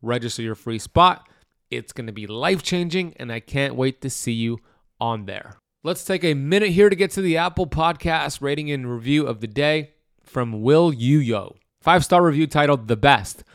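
Upbeat man hosting a podcast, smooth voice. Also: mid-range voice, animated, moderate pace, dry recording, clean studio sound. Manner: upbeat, promotional, enthusiastic delivery. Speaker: podcast host. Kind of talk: podcast intro and promo read. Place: home in Miami.

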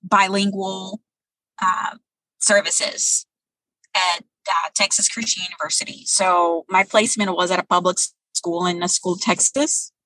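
Woman guest in her thirties, bright husky tone, dry close sound, measured answers with fillers. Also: on the low side, fairly even intonation, no echo, low-quality recording. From Brazil. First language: Portuguese. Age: 30s. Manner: thoughtful, many um pauses. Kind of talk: interview answers.

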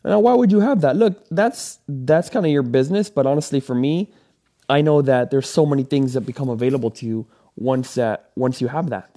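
A man's voice: medium pitch, fairly quick, warm tone. A man in his thirties from Venezuela, hosting a solo podcast and giving earnest advice in a rambling way, full of ums and restarts. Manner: rambling, filler-heavy, earnest coaching. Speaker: podcast host. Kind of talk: solo motivational podcast talk.

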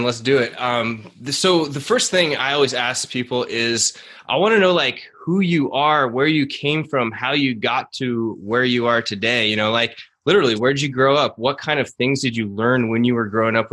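Male podcast host, laid-back interviewer, mid-range pitch. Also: moderate pace, pushed and bright. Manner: friendly, laid-back questioner. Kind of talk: casual interview.

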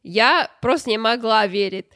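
High-voiced woman. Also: expressive, fairly bright tone, dry recording, studio quality. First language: not Russian, speaks it as a learned language. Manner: halting, searching for words in a learned language. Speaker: podcast co-host. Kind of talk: casual podcast chat.

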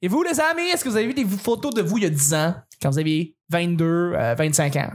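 Upbeat high-voiced Québécois man hosting. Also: expressive, fast talker. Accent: Québécois French. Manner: upbeat, laughs often, rambling with restarts. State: has a cold. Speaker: podcast host. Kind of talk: casual chat among friends.

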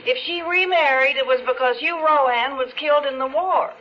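A woman's voice: high voice, normal speed, lightly acted. An actress playing an anxious, earnest woman questioned by police in a 1970s radio drama. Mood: wistful. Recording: dull old radio recording, noisy background.

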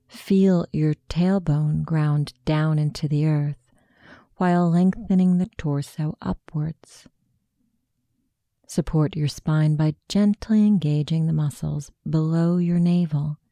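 A woman narrating a guided meditation, giving soft, deliberate, soothing instructions into a close microphone. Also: deep voice, vocal fry, no echo.

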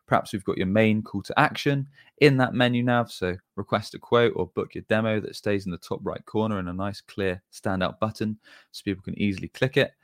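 A man's voice: lowish voice, fairly quick, dry recording, clean studio sound. A man giving an explanatory tutorial as an agency presenter, talking informally and going through things step by step. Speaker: web design agency presenter.

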